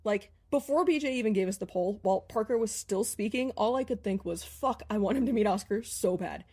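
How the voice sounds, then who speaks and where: A woman's voice: medium pitch, brisk, darkish tone, breathy edge. A woman giving emphatic, casual fan commentary in a listener voice submission.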